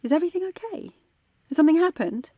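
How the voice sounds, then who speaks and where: An actress playing a middle-aged former activist turned art teacher from London, talking softly late at night in a radio drama. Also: female voice, very high-pitched, expressive, quick pace, noisy background. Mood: concerned. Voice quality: soft, hushed late-night talk. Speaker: character in audio drama.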